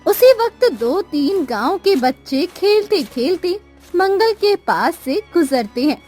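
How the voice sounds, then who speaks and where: A woman's voice: very high-pitched, big pitch swings, slow pace, a little mellow, faint music behind. A woman narrating a scripted cartoon moral story.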